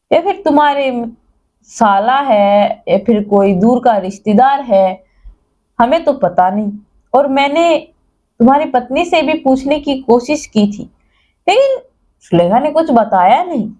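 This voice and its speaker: Woman doing a concerned, motherly neighbour auntie, highish. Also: expressive, measured pace, warm tone. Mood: concerned, confiding.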